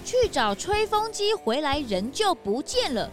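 A woman voicing a skeptical, quick-questioning young sidekick in a children's audio drama, with soft music underneath. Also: high-pitched, very animated. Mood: probing.

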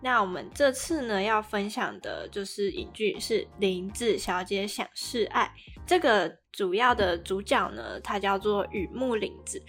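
A woman's voice: medium pitch, animated, unhurried, a bit bright, slightly rough, soft background music. A woman with a Taiwanese Mandarin accent co-hosting a podcast, casually recapping a drama in a chatty, explanatory way. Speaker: podcast co-host.

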